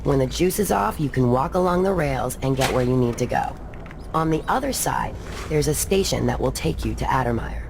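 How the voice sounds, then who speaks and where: An actress playing a streetwise underworld contact in a video game, casual and matter-of-fact. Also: female voice, rumbling low, animated, fairly quick, gravelly, noisy background, full character voice. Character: streetwise, businesslike underworld contact helping a fugitive. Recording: loud game music behind.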